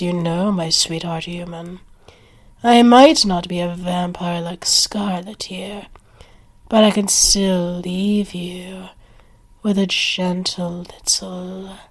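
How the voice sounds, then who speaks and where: A woman voicing a flirty, smug and protective female werewolf in an ASMR roleplay, talking in a teasing, taunting way. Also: lowish voice. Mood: flirty, coaxing.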